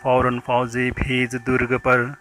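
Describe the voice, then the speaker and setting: A man reciting a poem in a measured, declamatory way, drawing out the ends of lines. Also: mid-range voice, completely flat delivery, a bit bright, faint music behind. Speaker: poetry reciter. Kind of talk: dramatic Hindi poem recitation.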